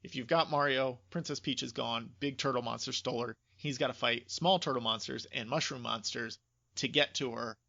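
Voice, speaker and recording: A man's voice: mid-range voice, fairly even intonation, moderate pace, creaky, bright, forceful tone, highs cut off. A man chatting casually and emphatically as a podcast co-host, explaining his opinions.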